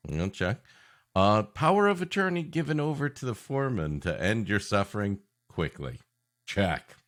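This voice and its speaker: A man's voice: on the low side, big pitch swings, normal speed, a bit bright. A man co-hosting a comedy podcast, bantering playfully in casual chat and often laughing.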